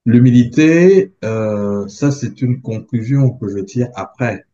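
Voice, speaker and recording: A man in his forties from the Republic of the Congo, a podcast guest who speaks in a measured, thoughtful way with frequent hesitations. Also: medium pitch, expressive, mellow, dull audio. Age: 40s. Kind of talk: reflective interview talk.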